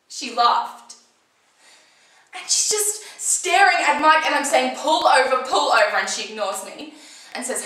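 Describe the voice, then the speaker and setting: A young woman acting a conversational monologue as a shaken, defensive teenage girl, telling her story in colloquial, halting bursts. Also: mid-range voice, bright, forceful tone. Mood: agitated, urgent. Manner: colloquial, swearing, halting then breathless retelling. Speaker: acting student performing a monologue. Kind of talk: dramatic screen-acting monologue, conversational first-person storytelling. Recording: echoey room, slightly distant microphone.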